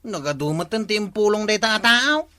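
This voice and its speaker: An actor playing an indignant, blustering village watch chief in a comedic radio drama. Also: male voice, high-pitched, animated, moderate pace, pushed and bright, no room sound.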